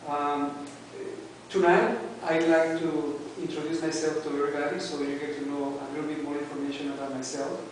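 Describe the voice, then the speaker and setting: A man from Mexico, a native Spanish speaker with a Mexican accent, giving a hesitant personal speech to a club with many pauses and restarts. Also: on the high side, level tone, a little hiss or hum. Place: meeting room. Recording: echoey room recorded from far off.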